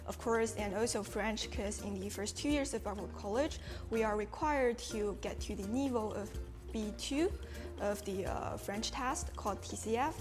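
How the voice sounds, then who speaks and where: A woman's voice: medium pitch, moderate pace, over music. A young woman from China whose first language is Chinese, answering casually as a student guest with many fillers. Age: young adult. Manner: relaxed, uses filler words. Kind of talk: casual talk show answers.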